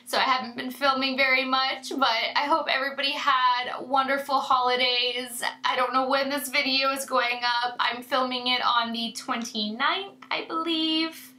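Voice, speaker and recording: A woman's voice: high voice, fairly even intonation, normal speed, few pauses, sharp tone, reverberant, rough recording. A woman in her thirties, congested and nasal from a sinus infection, chatting effusively to camera in a casual beauty review at home. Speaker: beauty vlogger. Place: home room lined with makeup shelves.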